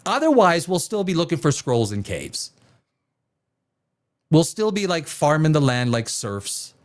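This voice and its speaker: A man's voice: a little high, very animated, unhurried. A man hosting a livestream alone, talking off the cuff in a voice that rises and falls a lot. He jumps between tangents, restarts his sentences and swears freely. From Czech Republic. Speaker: livestream host. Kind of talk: rambling solo livestream talk.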